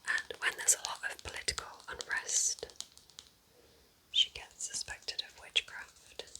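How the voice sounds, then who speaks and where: A woman whispering breathily and gently in an unhurried, chatty ASMR style, as a kind witch recommending books. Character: kind witch welcoming a visitor to her cabin. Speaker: ASMR roleplay host.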